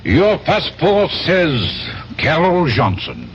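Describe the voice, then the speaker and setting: Skeptical inspector, swooping intonation. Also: male voice, fairly high pitch, huge pitch swings, slow pace, heavily acted. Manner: formal, pointed questioning. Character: skeptical, courteous French male police inspector. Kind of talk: 1970s radio drama acting. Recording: dull vintage radio broadcast, faint noise.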